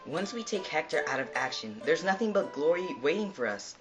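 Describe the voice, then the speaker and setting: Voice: mid-range voice, very animated, brisk, over music, close to an everyday voice. A performer playing a bold, eager war goddess in a mythological puppet show. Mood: eager, scheming.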